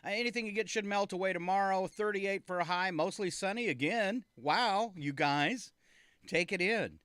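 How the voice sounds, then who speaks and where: Man, morning radio host, casual joking banter, fairly high voice, dry studio sound. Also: moderate pace, fairly bright tone, clear, resonant tone, no room sound. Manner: joking, easygoing, filler-heavy. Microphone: studio microphone.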